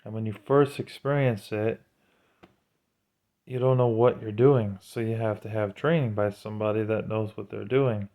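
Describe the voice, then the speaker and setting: A man with a fairly low voice talks in an informal, sermon-like monologue. He sounds earnest and admonishing, like a religious vlogger cautioning his viewers. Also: fairly even intonation, moderate pace, mellow. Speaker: vlogger giving religious commentary.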